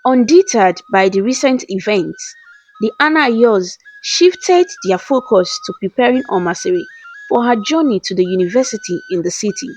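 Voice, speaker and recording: A woman's voice: on the high side, huge pitch swings, normal speed. A woman storyteller reading a scripted folktale in a dramatic, formal manner. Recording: slightly dull lo-fi voice-over. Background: soft background music with flute.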